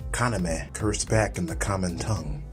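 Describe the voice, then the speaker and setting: A man narrates a dramatic audiobook from a script. His voice is deep, and music plays clearly behind it. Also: moderate pace.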